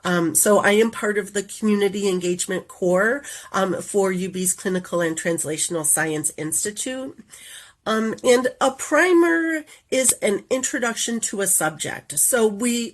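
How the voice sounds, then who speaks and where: A woman speaks with the stuffy, nasal sound of a head cold, her voice sitting at an ordinary middle pitch. She walks through her material in a careful, explanatory way. She is presenting over a video call. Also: measured pace. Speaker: community engagement coordinator presenting a webinar. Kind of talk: online presentation.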